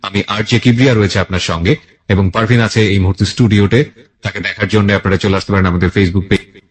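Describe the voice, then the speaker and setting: A man's voice: on the low side, fairly even intonation, quick pace. A man with a Bangladeshi accent hosting a radio interview, probing by putting the guest's account back as questions. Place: radio studio.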